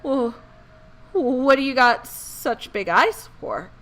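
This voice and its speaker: Woman as a frightened, high-voiced little boy. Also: lively intonation, takes it slowly, a bit bright, exaggerated voice, low-quality recording. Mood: scared, curious. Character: frightened young boy in a scary folk tale.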